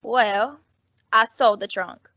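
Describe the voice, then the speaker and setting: A woman's voice: fairly high pitch, steady tone, slow, bright, forceful tone, no echo. A woman playing a sly, brash, grasping rooming-house landlady with slangy talk in an old-time radio drama. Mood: cagey. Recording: dull, dry lo-fi audio.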